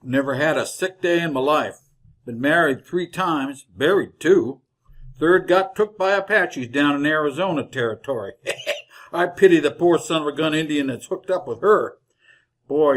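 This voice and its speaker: An actor playing a chatty, folksy older wagon driver with a rural Western American drawl in an old-time radio Western. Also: male voice, a little high, close to an everyday voice. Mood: boastful, jovial. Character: garrulous leathery old frontier wagon driver, folksy and cheerful.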